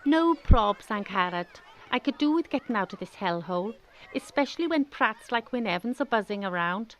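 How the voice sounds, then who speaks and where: A woman's voice: mid-range voice, lively intonation, moderate pace, close to an everyday voice, low-quality recording. A woman playing a brash, breezy teenage schoolgirl with a Welsh accent and slangy talk in a radio drama. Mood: breezy, eager. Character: bold, brash, cheerful big teenage schoolgirl best friend.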